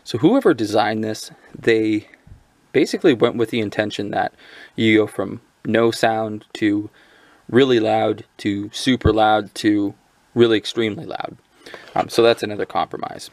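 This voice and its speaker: A man talks through a gadget in a casual, off-the-cuff way. His voice sits fairly low and has a strong gravelly fry. The sound is very dry and close, as he explains features informally like a hobbyist reviewer. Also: unhurried, darkish tone, no echo. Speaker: product reviewer. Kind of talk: casual unscripted gadget overview.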